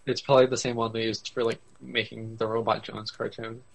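A man with a medium-pitched voice chats as a podcast co-host. He is laid-back and slightly hesitant, tossing in questions and recollections about anime in an informal way. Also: level tone, a little fast, creaky, poor audio. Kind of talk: casual chat about anime.

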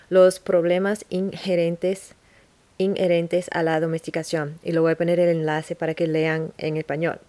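A woman talking on her podcast in an unscripted monologue, halting, with frequent restarts and pauses. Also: fairly low pitch, dark tone, dry recording.

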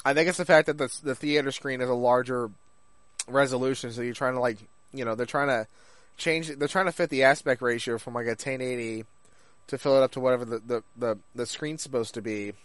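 A man's voice: medium pitch, steady tone, fairly quick, no echo. A man chats casually as a podcast co-host, his voice slightly toward the middle of the male range. He rambles through his thoughts and quotes remembered remarks in a conversational way. The sound is dry, with very little room. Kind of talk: casual chat about anime.

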